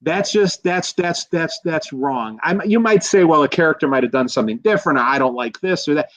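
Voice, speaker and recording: A man in a casual film discussion as a guest expert, speaking in a halting, rambling way and often correcting himself. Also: a little high, expressive, fairly bright tone, no echo. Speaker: guest expert, college professor.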